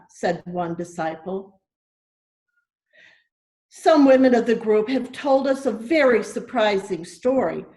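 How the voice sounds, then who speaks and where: A woman reading a Bible story aloud for children, in deliberate, simple phrases as a church storyteller. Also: fairly high pitch, darkish tone. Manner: deliberate, simple phrasing with questions to young listeners. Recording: echoey room.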